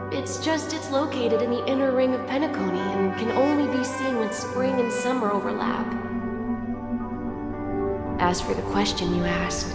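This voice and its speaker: A woman voicing a gentle, idealistic older sibling in a game cutscene, reassuring and reflective. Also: mid-range voice. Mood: fond, reassuring. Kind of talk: dramatic game cutscene acting. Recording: echoey long reverb under loud music.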